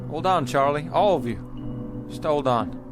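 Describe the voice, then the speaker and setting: An actor playing an earnest, desperate pioneer husband leading a wagon party in a radio drama, with halting, repeated words. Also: male voice, fairly high pitch, lively intonation, over music. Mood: earnest resolve.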